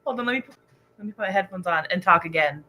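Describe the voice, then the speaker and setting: Female livestream host, bright and animated, excitable book chatter, faint noise behind. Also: lowish voice, fast, rough recording. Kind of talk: casual livestream chat, reading out viewer comments.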